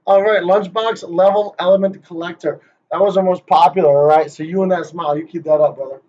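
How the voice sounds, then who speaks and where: A man with a high voice runs through a presentation in a joking, hyped-up way, teasing the people he names. The recording sounds dull and lo-fi. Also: fairly even intonation, brisk, mellow. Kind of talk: rehearsing a playful conference talk.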